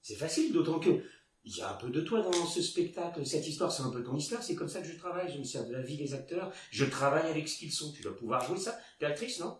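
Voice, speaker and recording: A man playing a volatile, domineering theatre director in a theatrical, hectoring, repetitive way, swinging between hushed intensity and shouting. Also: on the high side, animated, quick pace, lightly acted. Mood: pushy, controlling. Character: domineering, volatile male theatre director berating his actress. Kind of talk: theatrical stage acting. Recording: roomy, distant mic with background noise.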